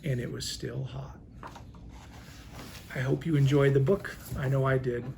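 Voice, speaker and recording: A man's voice: medium pitch, steady tone, measured pace, vocal fry, darkish tone, a little hiss or hum. An American man, a police chief, reads a children's picture book aloud expressively, with casual asides.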